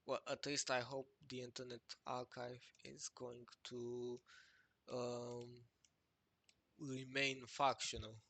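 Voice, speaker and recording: Young adult man, native Romanian speaker, slow and hesitant screen-share presenter with a bright tone. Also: mid-range voice, little pitch movement, gravelly. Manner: halting, many fillers and restarts. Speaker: project creator presenting a website. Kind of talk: screen-recorded walkthrough narration.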